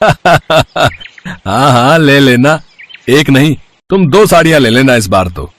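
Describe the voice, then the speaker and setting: A man's voice: mid-range voice, animated, slow pace. A man playing a kind, earnest poor village farmer and husband in a moral-story cartoon. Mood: hopeful, pleased.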